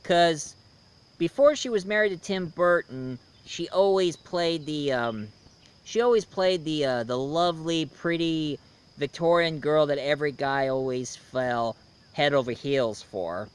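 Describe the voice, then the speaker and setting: Halting man, slightly high clear voice. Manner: halting, searching for words, repeating himself. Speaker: video reviewer and host. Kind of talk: casual unscripted review talk.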